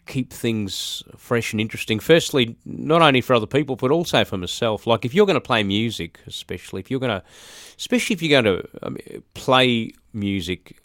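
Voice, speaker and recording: An Australian man in his forties, a musician chatting as an interview guest, halting with fillers and restarts. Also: medium pitch, expressive, fairly bright tone, no echo, studio quality. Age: 40s. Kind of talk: casual interview talk.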